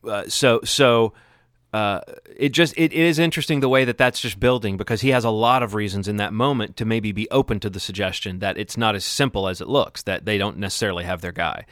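A man's voice: fairly low pitch, brisk, fairly bright tone, no echo, clean studio sound. A man co-hosting a podcast, talking through a TV episode in a casual, rambling, analytical way. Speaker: podcast co-host. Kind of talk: casual TV recap discussion.

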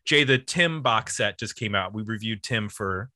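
A man co-hosting a music podcast, chatting casually about albums in an animated storytelling way. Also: lowish voice, moderate pace, dry recording, studio quality.

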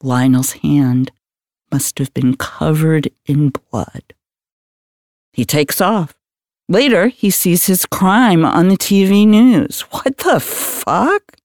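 Slow, deliberate homicide detective. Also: male voice, fairly high pitch, a little mellow, no room sound, clean studio sound. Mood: matter-of-fact. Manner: deliberate, terse storytelling. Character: matter-of-fact male homicide detective recounting a case. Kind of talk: hard-boiled audio drama narration.

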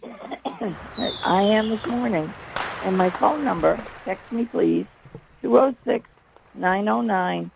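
A woman's voice: medium pitch, lively intonation, takes it slowly, vocal fry, long pauses, noisy background. A woman calling in as a gardening guest, chatting in a rambling, self-deprecating way while losing her voice. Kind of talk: casual call-in chat. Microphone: phone. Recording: tinny phone line.

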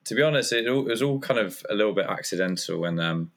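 Guest, male, thirties, very low voice, loose and hesitant delivery. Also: lively intonation, quick pace. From Germany. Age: 30s. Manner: rambling, hesitant with many fillers. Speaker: guest, community founder. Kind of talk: casual interview chat.

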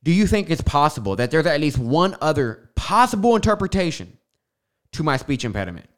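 A man's voice: a little high, very animated, a little fast. A young man with a lisp, hosting a podcast and talking casually in a rambling monologue full of fillers and tangents. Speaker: podcast host. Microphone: studio microphone.

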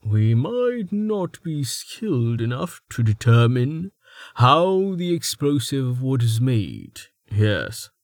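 A calm, scholarly man lays out his point slowly and deliberately, holding back anger under an even surface, as a man narrator voices him. The recording is close and dry. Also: mid-range voice, dry recording, natural voice. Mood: stern. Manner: measured, slow, with restrained anger.

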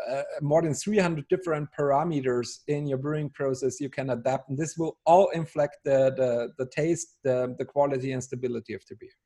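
A man's voice: mid-range voice, dark tone. A man in his thirties from Austria with an Austrian German accent, speaking English as a guest on a video call, hesitant with many fillers and restarts and a dry sense of humor. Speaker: brewery ambassador, guest. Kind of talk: casual interview over video call.

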